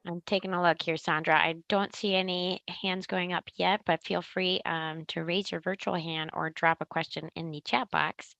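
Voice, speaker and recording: A woman's voice: lowish voice, level tone, moderate pace, creaky, hardly any pauses, fairly bright tone, dry recording. A woman informally moderating questions on a conference call, with slightly muffled audio.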